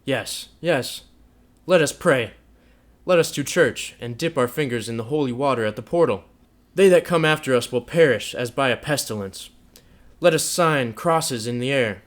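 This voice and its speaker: A man voicing a bitter, scornful young man in a story, declaiming loudly with rage. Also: medium pitch, moderate pace, pitched above the performer's own voice, lightly acted. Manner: loud, declamatory, raging.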